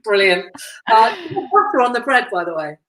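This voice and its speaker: A woman's voice: very animated, brisk, a little hiss or hum. Chatty woman host in an echoey room. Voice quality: self-described deep voice. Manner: chatty and warm, laughs often. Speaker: podcast host. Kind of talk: casual interview chat. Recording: roomy echo.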